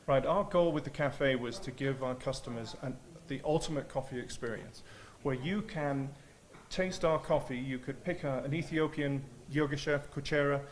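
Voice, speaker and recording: A man's voice: mid-range voice, moderate pace. A man who owns a coffee shop, speaking enthusiastically in an on-location interview, with frequent "uh" and "um".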